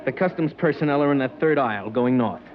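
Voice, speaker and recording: An actor playing a stern, dogmatic customs agent with a curt, preachy manner, in 1950s radio drama style. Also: male voice, mid-range voice, fast, slight background noise, close to an everyday voice. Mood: dutiful. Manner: curt, matter-of-fact, preachy. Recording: dry, dull old radio recording.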